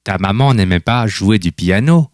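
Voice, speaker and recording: A man's voice: lowish voice, animated, moderate pace, dry recording, clean studio sound, close to an everyday voice. A man voicing a cheerful, kindly old grandfather in a children's storybook, teasing and affectionate, asking questions and reassuring. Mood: amused, gentle.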